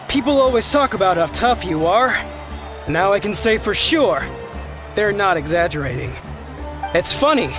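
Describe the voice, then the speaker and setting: A good-natured young man from a video game, pitched high for a male. He talks in a modest, conversational way. Music is clearly heard underneath.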